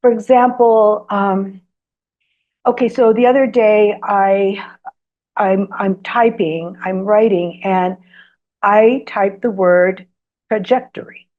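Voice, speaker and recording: A woman whose first language is Greek, a guest telling personal stories in an interview. She talks hesitantly with many ums, and grows animated during her anecdotes. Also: medium pitch, slow. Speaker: guest author. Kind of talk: storytelling in an interview. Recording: slightly dull lo-fi sound.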